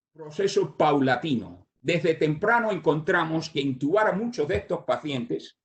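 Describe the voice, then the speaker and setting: An older man with a Cuban Spanish accent carried by English habits delivers a medical lecture in a voice pitched a little high. He stops often on "ah" fillers and restarts his phrases. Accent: Cuban Spanish with American English influence. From Cuba, raised in the United States. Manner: halting, with many "ah" fillers and restarts. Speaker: surgeon giving a conference talk.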